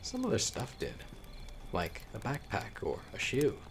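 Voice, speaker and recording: A man playing a young newcomer telling eerie local legends by a campfire in a horror audio roleplay, conversational and teasing with dramatic pauses. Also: on the low side, huge pitch swings, slight background noise. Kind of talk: casual spoken storytelling in horror audio roleplay.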